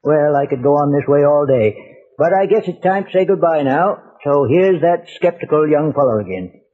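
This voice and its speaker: High-pitched folksy old sea captain. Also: male voice, expressive, normal speed. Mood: folksy, boastful. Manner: folksy, colloquial yarn-spinning. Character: folksy tall-tale old sea captain, indignant and boastful. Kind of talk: old-time radio acting. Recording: muffled vintage radio broadcast recording.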